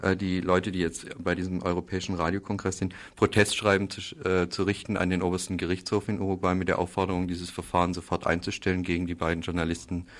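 Man, deep voice, quick delivery, even intonation, informal radio appeal. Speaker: radio contributor. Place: radio studio.

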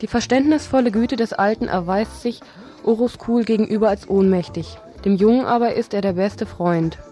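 Woman, radio presenter, mellow soft-edged voice, reads aloud with stumbles, faint music underneath. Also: medium pitch, moderate pace. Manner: stumbles over words while reading. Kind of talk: informal event listings, reading a text aloud. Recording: radio show over faint music bed. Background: soft background music.

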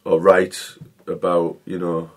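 A man's voice: low-pitched, monotone, slow. A man from England, a band's singer, chatting in an interview with dry, laid-back humour. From North East England. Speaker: singer and guitarist. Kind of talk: interview chat.

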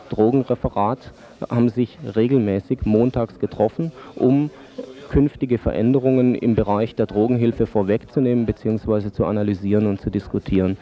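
A low-voiced man speaks as an expert guest on radio, explaining things in formal, measured wording. The recording is dull and lo-fi. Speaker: drug-aid expert guest. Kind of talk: explanatory radio interview answers. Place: radio interview.

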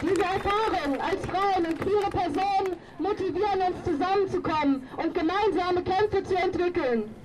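A woman's voice: very high-pitched, moderate pace, hardly any pauses, heavy background noise. A woman activist giving a prepared, resolute political speech at an outdoor demonstration. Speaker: demonstration speaker, feminist activist. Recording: distant microphone at outdoor rally.

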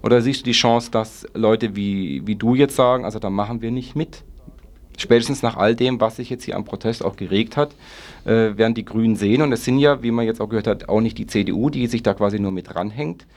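A man's voice: on the low side, brisk, darkish tone. A man interviewing in an unscripted political discussion, putting long, pressing questions. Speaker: radio magazine interviewer.

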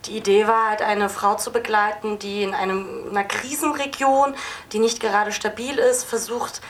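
A woman with a medium-pitched voice answers questions as a film director at a live audience talk. She explains things spontaneously, with hesitant pauses. There is faint noise and venue ambience on the recording. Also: fairly even intonation. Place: film festival screening venue. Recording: noisy live event recording.

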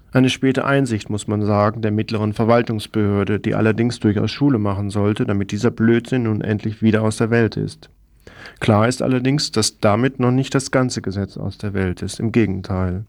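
Low, creaky-voiced man reading news. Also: a little mellow, dry recording. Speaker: radio news presenter. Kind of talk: opinionated news reading from script.

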